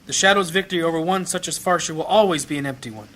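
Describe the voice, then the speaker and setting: A man playing a grave, compassionate priest in a fantasy audio drama, with a measured, rhetorical delivery. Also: a little high, bright, forceful tone. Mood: impassioned. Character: grave compassionate priest leading a memorial service. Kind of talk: fantasy audio drama acting, solemn sermon.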